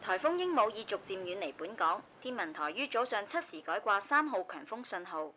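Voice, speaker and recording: A woman's voice: medium pitch, big pitch swings, a little fast, bright, forceful tone, a little hiss or hum. A woman playing a formal news reporter with a Hong Kong accent, reading a scripted bulletin in a radio drama. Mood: formal, neutral.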